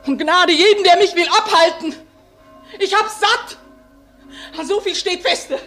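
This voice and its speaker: Eine Schauspielerin spielt im Hörspiel eine verbitterte, trotzige junge Mutter aus armen Verhältnissen, scheltend und heftig, mit schlesischem Akzent. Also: female voice, very high-pitched, measured pace, a bit bright, soft background music. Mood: defiant fury. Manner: scolding, vehement. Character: bitter, defiant young working-class mother, scolding and fierce. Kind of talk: German radio play acting.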